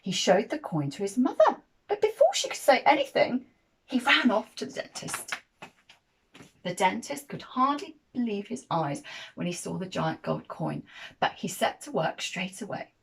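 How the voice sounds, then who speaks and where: A woman reading a children's picture book aloud as an expressive storyteller. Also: medium pitch, normal speed, creaky, husky, low-quality recording.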